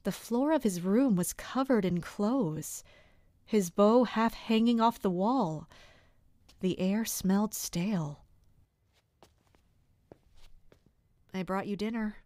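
A woman playing a concerned, patient servant and confidante in a fantasy audio drama, speaking gently and with measure. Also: medium pitch, measured pace, no echo, natural voice. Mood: measured. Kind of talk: fantasy audio drama acting with first-person narration.

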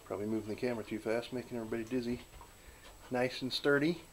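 A man giving a relaxed, folksy walk-through of a small backyard wooden workshop, filming on a handheld camera. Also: mid-range voice, quick pace, a little hiss or hum. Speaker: channel host filming a shop tour. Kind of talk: casual narrated shop tour.

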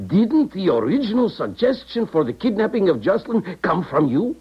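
Stern male colonel, formal, wary, highish husky voice, muffled old radio drama. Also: big pitch swings, heavily acted. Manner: formal, measured, clipped phrasing. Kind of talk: 1970s radio drama acting.

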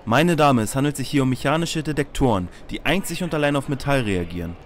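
Man as mid-pitched officious security officer. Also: animated, quick pace, a little hiss or hum, close to an everyday voice. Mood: official. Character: officious, dry male airport security officer. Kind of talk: parody detective radio play.

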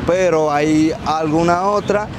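A man, answering haltingly in a street interview on a lapel mic. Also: fairly high pitch, noisy background. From Dominican Republic. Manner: halting, searching for words. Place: city street outdoors.

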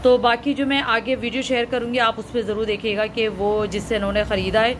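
A woman's voice: fairly high pitch, flat delivery, fast talker. A woman vlogger chatting casually to her viewers as she shows them things at home. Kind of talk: casual home vlog chat. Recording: noisy background.

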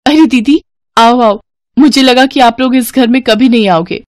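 A woman's voice: on the high side, normal speed, slight background noise, pitched above the performer's own voice, close to an everyday voice. Female narrator as humble, determined young orphan heroine for a cartoon fable, earnest and pleading. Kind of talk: animated moral story character acting.

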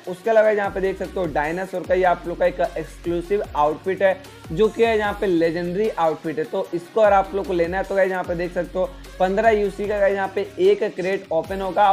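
A young man hosts a gaming explainer in a high-pitched voice. He talks straight to viewers, leaning on repeated filler phrases. Faint music plays behind him. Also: a little mellow. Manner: repetitive, filler-heavy address to viewers. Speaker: gaming video host. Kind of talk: explainer narration of game events. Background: background music.

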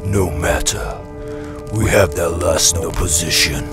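A man playing an obedient demon henchman eager for war in a radio play, with a gravelly voice. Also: low voice, steady tone, slow pace. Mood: dutiful. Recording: loud music over the voice.

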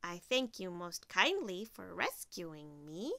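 A woman's voice: on the low side, sing-song, slow, vocal fry, sharp tone, no room sound, pitched below the performer's own voice, full character voice. A woman voicing a hapless, hungry, grateful hog in a children's storybook, courteous and rueful.

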